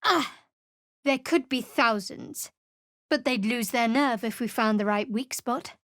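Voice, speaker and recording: A woman's voice: a little high, unhurried, fairly bright tone, dry recording. An actress voicing a guarded, sardonic young female cleric in video game voice lines, with a cool, dry manner. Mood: wry.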